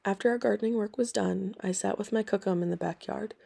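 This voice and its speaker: A woman reading aloud scripted story and history narration for listeners in a measured, plain way. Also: mid-range voice, vocal fry, warm tone, husky. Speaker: storybook reader and history presenter.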